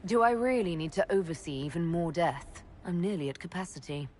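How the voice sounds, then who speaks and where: A slightly low actress voicing a heroic woman leader in a fantasy game. She is direct and dry, with faint noise behind her. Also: animated, fairly quick, a little mellow, lightly acted. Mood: dry, wary. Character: steady, dry-witted female heroic leader. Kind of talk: video game dialogue acting.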